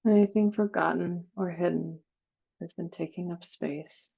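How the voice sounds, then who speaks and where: Female meditation guide. Lowish, dark and soft-toned, unhurried and soothing, leaves long gaps. Slightly dull recording. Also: fairly even intonation, creaky, breathy edge. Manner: slow, soothing, with long pauses.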